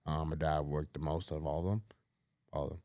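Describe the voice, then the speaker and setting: A man in his thirties, born in Cuba, telling his life story as a podcast guest in a relaxed way, with lots of fillers, often trailing off quietly. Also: very deep voice, fast talker, no echo, clean studio sound. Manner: laid-back, many fillers, drops to a mutter at phrase ends. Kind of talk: casual storytelling chat.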